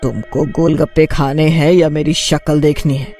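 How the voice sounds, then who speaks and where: A man's voice: a little high, little pitch movement, normal speed, darkish tone, soft background music. A man voicing a vengeful witch girl who sells street snacks, stern and confrontational, in a cartoon story. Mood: wary.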